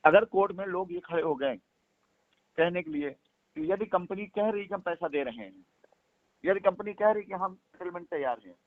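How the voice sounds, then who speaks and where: A man's voice: high-pitched, moderate pace, no room sound. A man on a phone call, speaking for a company, making his points insistently and repeating them for emphasis. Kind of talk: persuasive phone conversation. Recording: tinny phone line.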